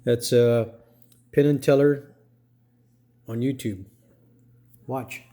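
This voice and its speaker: A man commentating casually over clips he plays. He talks in a halting way, repeating words and leaning on fillers. His voice sits in a middle pitch. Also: fairly even intonation, warm tone. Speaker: video commentator.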